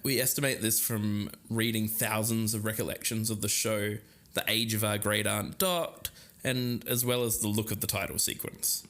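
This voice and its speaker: A man's voice: fairly low pitch, flat delivery, moderate pace, fairly bright tone. Man co-hosting a podcast. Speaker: podcast co-host. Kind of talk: semi-scripted podcast narration and banter.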